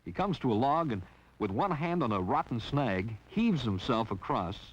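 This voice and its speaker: A man's voice: mid-range voice, sing-song, normal speed. A man narrating a 1960s training film in a wry, teasing scripted style. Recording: dull lo-fi 1960s film soundtrack.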